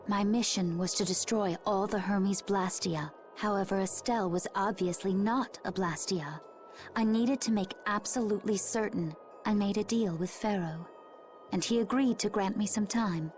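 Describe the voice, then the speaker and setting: An actress plays a composed young warrior woman from a fantasy game. She speaks with calm duty and keeps much to herself, while music plays clearly behind her. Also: medium pitch, close to an everyday voice. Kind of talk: scripted video game voice acting.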